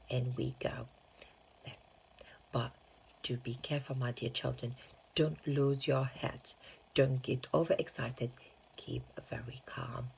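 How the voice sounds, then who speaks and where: A woman's voice: very deep voice, gravelly, a little mellow, a little hiss or hum, full character voice, low-quality recording. A woman voicing a proud, fussy male chocolate factory owner in an emphatic, cautioning way for a storybook reading.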